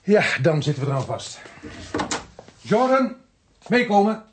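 Curt Dutch police inspector, lively intonation. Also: male voice, high-pitched, unhurried, husky, exaggerated voice. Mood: curt, commanding. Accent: Netherlands Dutch. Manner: curt, wry, commanding. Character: brisk, dry, authoritative male police inspector. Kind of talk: Dutch radio play acting. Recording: noisy archive radio play recording.

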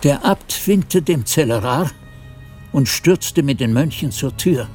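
An actor playing a reflective monk who narrates a radio play in a deliberate, atmospheric storytelling manner. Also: male voice, mid-range voice, lively intonation, a little mellow, soft background music. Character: reflective male monk narrator recounting abbey mystery.

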